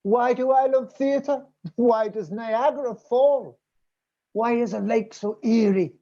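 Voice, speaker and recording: A woman's voice: on the high side, slow pace, warm tone. A woman playing a pompous theatrical man who declaims in ornate, lofty phrases over a muffled online call. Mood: grandiose. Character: pompous theatrical thespian man named Roger, lofty and grandiose.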